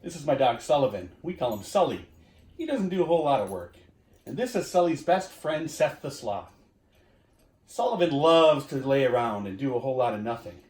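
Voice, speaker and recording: A man reading a children's storybook aloud in an expressive way, with drawn-out emphasis, as if talking to young listeners. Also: medium pitch. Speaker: community education director reading to children. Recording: roomy echo.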